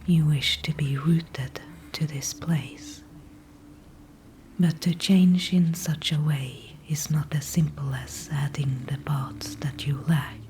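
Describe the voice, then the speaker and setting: A woman's voice: deep voice, steady tone, slight background noise, poor audio. A woman whispering as an ancient dryad. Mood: calm, knowing. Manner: soft unvoiced whisper throughout; formal, unhurried, old-fashioned phrasing. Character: ancient female tree spirit, calm and wise. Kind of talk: ASMR audio roleplay.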